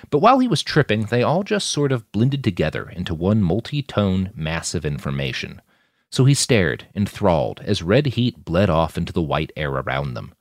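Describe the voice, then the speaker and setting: Very deep, expressive male audiobook narrator. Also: dry recording, studio quality. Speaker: author narrating fiction.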